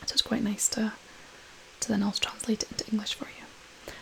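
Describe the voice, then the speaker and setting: A woman with a Shetland Scottish accent speaks softly and breathily in a gentle, restrained ASMR poetry-reading style. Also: medium pitch, fairly quick, low-quality recording. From Shetland, Scotland. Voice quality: soft, whispery, breathy. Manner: gentle, restrained, relaxed delivery.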